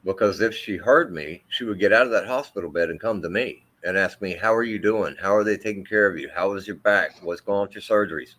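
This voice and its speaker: A man with a deep, low voice that carries, an army veteran in middle age. He tells his story haltingly, circling back with fillers and restarts. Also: a bit bright. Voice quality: deep, carrying voice. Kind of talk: emotional storytelling in interview.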